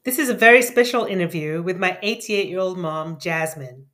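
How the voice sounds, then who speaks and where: A woman's voice: on the low side, normal speed, fairly bright tone. A woman hosting a podcast and interviewing a guest, often rephrasing her questions and using fillers. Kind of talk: casual interview.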